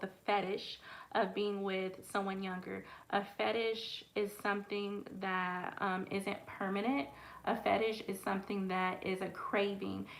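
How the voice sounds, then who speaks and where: A woman's voice: mid-range voice, poor audio. A woman in her late twenties hosting a casual livestream and answering viewer questions as a dating coach. She talks frankly, with lots of fillers. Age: late 20s. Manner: frank, conversational, many fillers.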